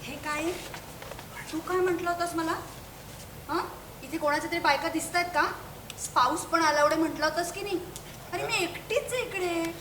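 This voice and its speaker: A woman acting in an amateur stage play as an excitable reunion guest who is quick to scold. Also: very high voice. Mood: annoyed, scolding. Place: stage in an echoey hall. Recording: echoey hall, distant mic, background noise.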